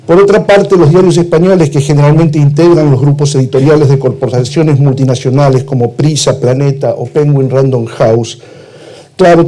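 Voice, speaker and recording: Argentine translator, middle-aged man, slightly high mellow voice, ironic lecture delivery. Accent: Argentine Spanish. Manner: critical, ironic, with frequent "eh" and "este" fillers. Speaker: translator and cultural manager. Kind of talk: prepared talk at a cultural event.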